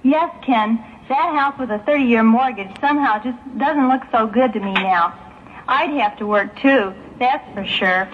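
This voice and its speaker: A woman's voice: a little high, unhurried, natural voice. A woman playing an agreeable, earnest young engaged woman in a 1960s religious audio drama. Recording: muffled, hissy 1960s tape, phone-like.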